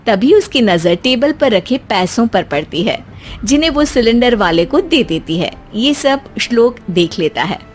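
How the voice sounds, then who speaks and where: A woman's voice: a little high, big pitch swings, measured pace, slight background noise. A woman narrator reading a scripted family cartoon story.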